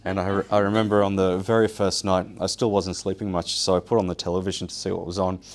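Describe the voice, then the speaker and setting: A man with a very low voice, whose first language is Polish, speaks as a guest telling his own story to an audience. He is informal and wry, happy to poke fun at himself as he goes. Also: flat delivery, quick pace. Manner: casual, self-deprecating, wry. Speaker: guest speaker, survival show winner.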